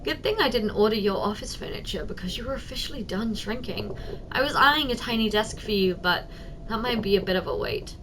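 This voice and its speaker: A woman playing a giant, doting, teasing office secretary in an ASMR roleplay, speaking softly and coaxingly straight to the listener. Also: mid-range voice, expressive, brisk, background noise, close to an everyday voice. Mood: helpful, attentive. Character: giant, doting, teasing female office secretary, tender toward tiny boss.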